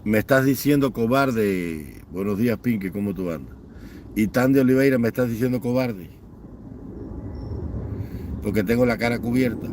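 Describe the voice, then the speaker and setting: A man's voice: mid-range voice, normal speed, long pauses, darkish tone. A middle-aged man with an ordinary mid-range voice hosts a livestream from home, greeting viewers one by one. His tone turns scornful and bitter as he piles up emphatic lists of complaints. A faint hum of noise sits behind him. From Cuba. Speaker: livestream host. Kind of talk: livestream chat, reading viewer comments and ranting. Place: home in Houston, Texas.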